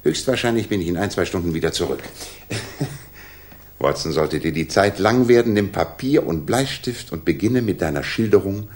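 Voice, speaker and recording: An actor playing a confident, commanding male detective in a 1960s German radio play, with a husky voice. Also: deep voice, animated, normal speed. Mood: eager, amused. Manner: commanding, probing, self-assured.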